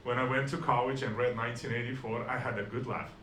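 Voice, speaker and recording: A man delivers stand-up in a dry, self-deprecating way, often stumbling and restarting his sentences. His voice sits at an ordinary middle pitch. The room is echoey, with a faint hiss under him. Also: flat delivery, fast talker, bright, forceful tone. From Estonia. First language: Russian and Estonian. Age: 40s. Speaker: stand-up comedian. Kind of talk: stand-up comedy set.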